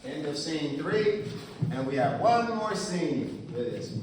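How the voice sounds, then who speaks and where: A man's voice: fairly high pitch, sing-song, takes it slowly, noisy background. A man casually announcing at a live puppet show. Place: indoor live show, far from the mic. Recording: distant echoey hall, loud music.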